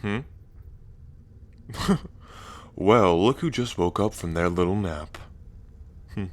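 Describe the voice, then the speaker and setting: A man's voice: low voice, slow pace, darkish tone. A man playing an ancient dragon king in human form, smug and teasing, with a drawling, taunting delivery in a roleplay ASMR. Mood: teasing, interrogating.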